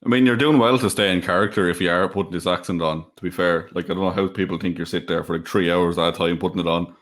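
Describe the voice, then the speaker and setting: A man's voice: sits low, fairly even intonation, quick pace. A man co-hosting a podcast who reads out questions and chats in a dry, teasing way. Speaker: co-host reading questions. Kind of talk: casual chat.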